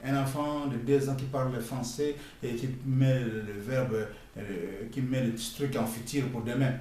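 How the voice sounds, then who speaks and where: Middle-aged Congolese man, French with Congolese accent, scolding vlogger, mid-pitched, mic distant, heavy echo. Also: steady tone, dark tone, slight background noise. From Democratic Republic of the Congo. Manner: emphatic, scolding, halting with frequent pauses. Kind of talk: casual spoken commentary. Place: outdoors near a construction site. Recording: echoey and distant with faint ambience.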